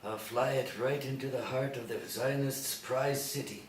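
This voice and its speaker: A man's voice: mid-range voice, steady tone, normal speed, close to an everyday voice. A man playing a fervent, boastful militant pilot in a screenplay read, declaiming devoutly. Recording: echoey room, distant mic, faint noise.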